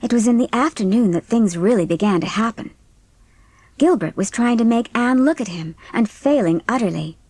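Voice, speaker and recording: A woman's voice: a little high, lively intonation, moderate pace, a little mellow, husky, no room sound. Woman narrating in a dry studio. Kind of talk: scripted audiobook narration.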